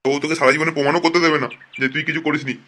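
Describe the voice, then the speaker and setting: A man doing a fast, sharp, blustering comic rogue. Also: fairly high pitch, level tone. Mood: warning. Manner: blustering, crude, hectoring. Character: brash bullying comic rogues: crooked cops and jailbirds. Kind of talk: fast comic cartoon dialogue in colloquial Bengali slang.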